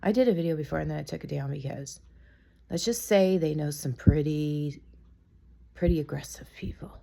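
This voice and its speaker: Woman, raspy sick voice, gravelly, lowish pitch, chatty and opinionated, rambling gossip vlog. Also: normal speed, dark tone. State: sick, raspy from a cold, coughing. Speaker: vlogger. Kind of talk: casual gossip vlog to camera.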